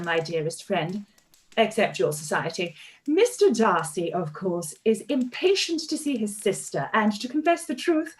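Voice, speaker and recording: A woman's voice: a little high, poor audio. A woman playing a smug, falsely affectionate society lady reading out her letter in a period radio play, affected and formal. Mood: coy, gloating.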